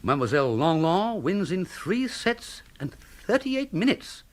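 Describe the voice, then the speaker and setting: An actor playing a breezy, idle upper-class English young gentleman in a comic period radio drama, plummy and exclamatory. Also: high voice, big pitch swings, a little slow, a little hiss or hum. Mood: contented.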